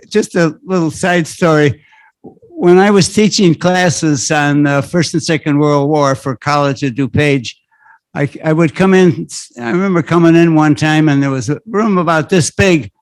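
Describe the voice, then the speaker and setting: Older guy, retired principal at a library panel, kinda high voice, halting, lots of restarts. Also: dry recording. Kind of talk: community panel talk. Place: library event room. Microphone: handheld microphone.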